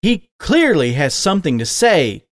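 A man's voice: on the high side, big pitch swings, unhurried, no room sound, clean studio sound, pitched above the performer's own voice. A man voicing a young adult son, a wolf living as a horse, who sounds hurt and defiant with a rising voice.

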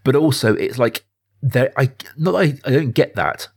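Guy on a film podcast, normal pitch, thinks out loud, keeps restarting, pretty earnest. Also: expressive, gravelly, slightly rough. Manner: earnest, thinking aloud, halting. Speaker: podcast host. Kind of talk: casual film discussion.

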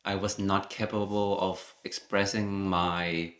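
A young man speaks in a very low voice, stopping and restarting often with lots of fillers. His talk is loose and conversational, circling around his thoughts before landing on them. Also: little pitch movement, slow pace, a bit bright. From Thailand. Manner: hesitant, many fillers and restarts. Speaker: guest. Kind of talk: casual conversation.

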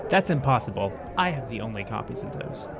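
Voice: medium pitch, lively intonation, a little fast, gravelly, a crowd in the background. A performer playing a guarded, sardonic woman spy in an audio drama, terse, dry and unimpressed. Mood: guarded, menacing.

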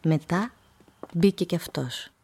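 A woman narrator reading scripted literary prose for a radio play in a measured, storytelling manner. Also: on the low side, very noisy.

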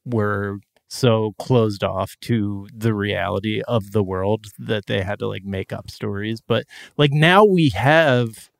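A man's voice: lowish voice, slow pace, vocal fry, few pauses, no echo, clean studio sound. A man co-hosting a podcast in loose, rambling casual chat, often laughing mid-sentence. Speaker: podcast co-host.

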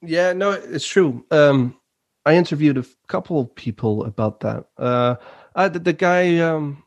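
A man's voice: a little high, measured pace, no room sound. A man from French-speaking Switzerland talking as a podcast guest in a casual, hesitant, easygoing way. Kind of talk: casual conversational interview.

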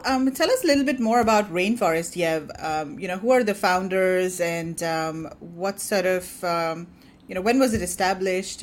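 A woman's voice: on the low side, a little hiss or hum. A woman hosting a webinar interview over a video call, upbeat and conversational. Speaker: webinar host.

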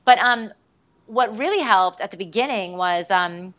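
Brief: mid-pitched woman, chatty guest voice actress, loose filler-heavy storytelling, slightly dull lo-fi sound. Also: unhurried. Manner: chatty, many "like" fillers, animated re-enacted quotes. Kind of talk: casual interview storytelling.

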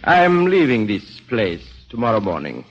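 An actor playing a haughty, shabby-genteel gentleman with stiffly formal, precise speech in a 1940s radio drama. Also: fairly low pitch, wildly swooping pitch, measured pace, heavily acted. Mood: proud, formal. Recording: dull lo-fi 1940s radio recording.

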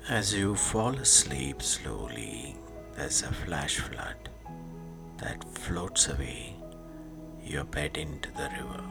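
A man guiding a sleep meditation, speaking soothingly in short hushed phrases with long pauses, often fading into a breathy whisper. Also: rumbling low. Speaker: meditation guide. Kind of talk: guided sleep meditation narration. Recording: music behind the voice. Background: meditation music.

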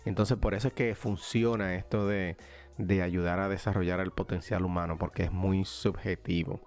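A man's voice: deep voice, flat delivery, normal speed, a little mellow, soft background music. A man hosting a motivational podcast, talking in an encouraging, conversational way. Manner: encouraging, motivational, frequent restarts. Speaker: podcast host. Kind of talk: casual conversational interview.

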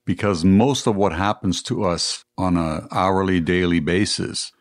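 A man born in Italy and raised in Canada, co-hosting a podcast, giving measured explanations and often murmuring agreement. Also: sits low, no echo. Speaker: podcast co-host. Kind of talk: conversational discussion.

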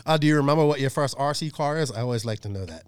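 A man hosts a chat podcast in a loose, rambling way, with plenty of fillers and restarts. His voice is smooth and clear at a middle pitch. The mic is close and dry. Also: lively intonation, very fast, no echo. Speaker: podcast host. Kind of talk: casual chat.

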